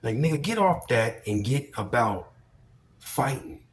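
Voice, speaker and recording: A man giving a blunt, profane, opinionated monologue in a deep, projecting voice. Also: sing-song, unhurried, slight background noise. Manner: blunt, profane, preachy and emphatic. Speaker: commentary vlogger.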